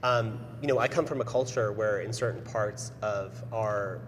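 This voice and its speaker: A man's voice: lowish voice, moderate pace. A man with an American accent sharing personal stories as an interview guest. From San Francisco, United States; born in Pakistan. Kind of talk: personal storytelling in a talk show interview. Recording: echoey hall, noisy background.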